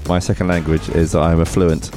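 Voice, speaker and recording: A man with a very deep voice speaks quickly as a podcast guest. He comes across as brisk and a little flustered, with music underneath. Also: flat delivery.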